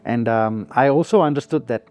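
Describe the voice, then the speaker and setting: A musician in his forties from Singapore, speaking. He gives relaxed, reflective interview answers and laughs often. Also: mid-range voice, moderate pace. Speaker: musician guest.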